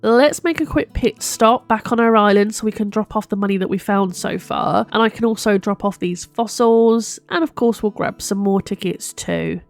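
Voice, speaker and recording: A woman's voice: mid-range voice, normal speed, gravelly, hardly any pauses. A woman with a mid-range voice chats casually through her gameplay as host. She sounds a touch stuffed up in the nose, and music plays behind her commentary. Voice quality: slightly congested, bunged-up nose. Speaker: host of a gaming video series.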